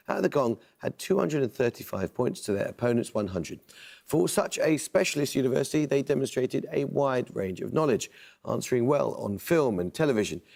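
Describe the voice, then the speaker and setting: A man hosting a quiz show in a TV studio, reading questions in a measured, precise way. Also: medium pitch, vocal fry, darkish tone.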